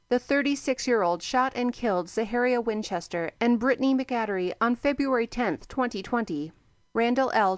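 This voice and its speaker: Higher-pitched female radio newsreader. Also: no echo. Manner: brisk, even, formal delivery. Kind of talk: scripted radio news bulletin. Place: radio news desk.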